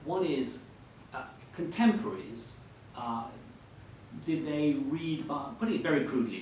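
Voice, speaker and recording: A man acting as interviewer at a book event, putting questions politely in halting, hesitant phrases. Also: mid-range voice. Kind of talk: conversational questioning before an audience. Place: indoor event hall. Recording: echoey hall, distant mic, faint noise.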